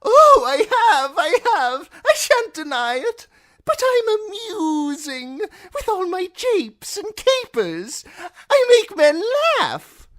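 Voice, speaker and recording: A man voicing a cowardly male jester who pleads in a shrill, crying voice. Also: huge pitch swings, slow pace, a bit bright, no echo, full character voice. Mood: whining, pleading. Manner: high crying pleading, mocking.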